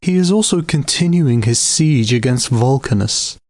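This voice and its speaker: A man's voice: medium pitch, lively intonation, moderate pace, darkish tone, no echo, close to an everyday voice. An actor playing an agreeable, deferential council nobleman in an audio drama, speaking formally and obligingly. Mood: agreeable, businesslike. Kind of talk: scripted audio drama acting.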